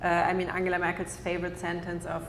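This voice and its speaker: A woman moderates a public talk in a measured way, asking questions and summing up. Her voice sits low and stays flat, and the hall gives it a long echo. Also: slight background noise. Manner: measured, asks questions, sums up. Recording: echoey hall, faint ambience.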